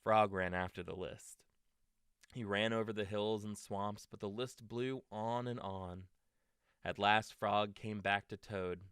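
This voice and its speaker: Slow, low-voiced man reading bedtime stories. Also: fairly even intonation, fairly bright tone, clean tone, dry recording, studio quality. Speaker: storytime reader. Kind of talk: bedtime story narration for young children.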